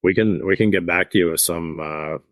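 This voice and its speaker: A man who runs motorcycle tours, speaking as a podcast guest in a halting, rambling way with many restarts. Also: sits low, no room sound. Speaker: motorcycle tour operator, guest. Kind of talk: casual interview.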